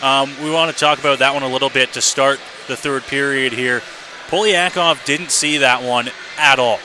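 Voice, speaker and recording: A man's voice: medium pitch, steady tone, moderate pace, noisy background. A man doing live radio commentary as a hockey play-by-play announcer. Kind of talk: live sports radio commentary, between-periods recap. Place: indoor ice hockey arena.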